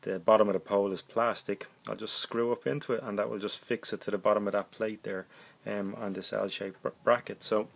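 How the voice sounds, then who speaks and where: A middle-aged man giving a casual, halting DIY demonstration as a hobbyist, thinking aloud as he goes. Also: lowish voice, fairly even intonation, vocal fry. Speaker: hobbyist presenter.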